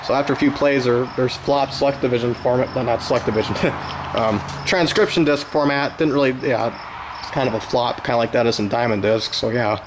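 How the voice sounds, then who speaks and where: A man's voice: medium pitch, a little fast, noisy background. A man giving an informal, unscripted show-and-tell as a hobbyist collector, rambling along with folksy enthusiasm. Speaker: vintage radio collector presenting his own channel.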